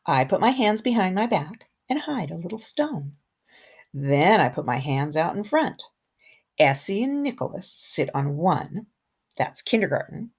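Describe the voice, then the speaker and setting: A woman kindergarten teacher reading a picture book aloud to young children in an expressive way, with simple asides and questions. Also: fairly low pitch, slightly rough. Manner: animated, simple wording, pauses to comment and question.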